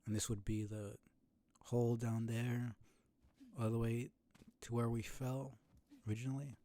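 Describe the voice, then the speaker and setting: A man's voice: medium pitch, level tone, a little slow, warm tone. A man giving casual game commentary in a musing way, thinking aloud as he goes. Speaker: game streamer.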